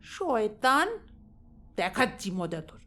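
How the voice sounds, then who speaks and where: A woman's voice: mid-range voice, sing-song, takes it slowly, a bit bright. A woman voicing a jealous first wife who scolds and hurls insults. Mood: furious.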